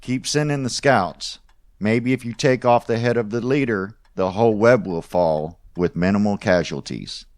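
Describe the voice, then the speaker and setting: A man's voice: on the low side, normal speed, close to an everyday voice. An actor playing an older male boss and mentor, authoritative and fatherly, in a post-apocalyptic audio drama. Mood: commanding, fatherly.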